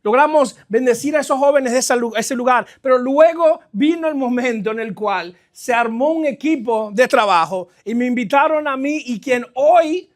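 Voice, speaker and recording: A middle-aged man with a Caribbean Spanish accent giving a conversational, halting sermon as a guest pastor, addressing the congregation directly. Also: extremely high pitch. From New Jersey, of Caribbean family. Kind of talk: live sermon, personal testimony. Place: church, speaking to a congregation.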